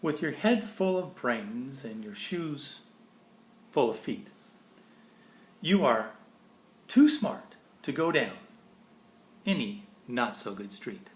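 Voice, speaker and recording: A man reading a children's picture book aloud expressively, with pauses between phrases and chatty explanations to listeners. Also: medium pitch, sing-song. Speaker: storybook reader. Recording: echoey room.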